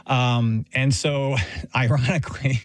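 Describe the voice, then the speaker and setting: Middle-aged guy from texas musing on his life, dry close sound, talks it through slow. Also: medium pitch, steady tone, dry recording. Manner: thoughtful, anecdotal, many fillers. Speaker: guest. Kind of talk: reflective interview answers.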